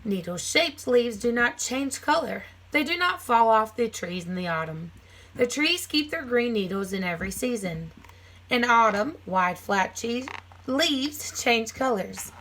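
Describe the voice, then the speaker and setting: A woman's voice: a little high, lively intonation, a little slow, a bit bright, low-quality recording. A woman teacher reading a children's nonfiction book aloud, phrasing it simply for young listeners with casual asides.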